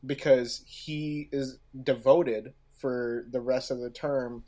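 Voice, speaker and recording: Slow, halting male podcast co-host. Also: medium pitch, steady tone, mellow. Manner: halting, pausing mid-phrase. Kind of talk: casual podcast discussion.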